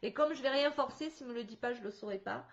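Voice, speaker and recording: A woman working as a medium in a spirit contact session over a video call. She talks in halting restarts and laughs often. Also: fairly high pitch. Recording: slightly dull video call audio.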